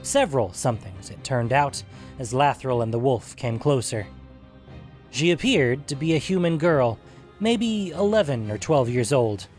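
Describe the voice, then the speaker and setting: A man's voice: mid-range voice, very animated, measured pace, a little mellow. Man narrating a fantasy story over music.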